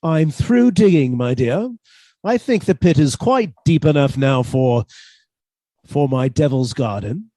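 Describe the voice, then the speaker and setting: A man playing a meek, middle-aged British botanist husband, submissive and quietly sly, in a radio suspense drama. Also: mid-range voice, expressive, measured pace, no room sound, natural voice. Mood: meek, evasive.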